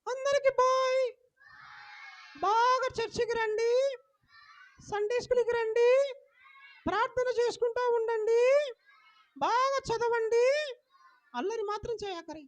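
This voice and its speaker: A small puppet companion in a children's show. The squeaky cartoon voice is extremely high. It gently scolds and instructs, bossy but friendly. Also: unhurried, long pauses. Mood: friendly farewell.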